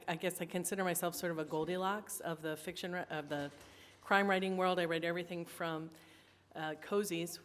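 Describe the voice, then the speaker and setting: Low, hesitant woman panelist. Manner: self-deprecating, hesitant. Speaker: panelist, attorney and author.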